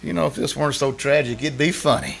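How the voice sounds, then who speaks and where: An actor playing a befuddled, stammering husband in a 1940s-style radio comedy. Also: male voice, a little high, quick pace, creaky, fairly bright tone. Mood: resigned. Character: Dick, flustered, stammering young-hearted radio-hobbyist husband.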